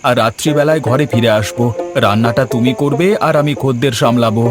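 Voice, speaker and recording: A man's voice: medium pitch, fairly quick, close to an everyday voice. A man voicing an earnest, poor village eatery keeper and devoted husband in a cartoon story. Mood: hopeful. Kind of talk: Bengali cartoon story voice acting. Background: music.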